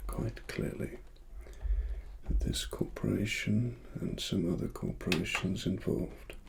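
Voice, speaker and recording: British male, soft-spoken cyberpunk ASMR roleplay as a secretive investigator. Very slow, half-whispered delivery with gravelly fry. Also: lowish voice, slight background noise. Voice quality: soft, breathy, often near whisper. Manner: slow, deliberate, hushed. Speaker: ASMR performer.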